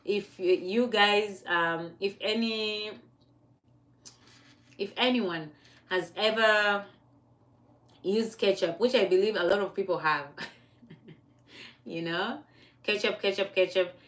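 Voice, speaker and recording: A woman's voice: mid-range voice, slow, long pauses, a bit bright. Chatty Kenyan woman on a livestream. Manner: chatty, laughs often, stops to correct her own wording. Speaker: livestream host. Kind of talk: casual motivational livestream.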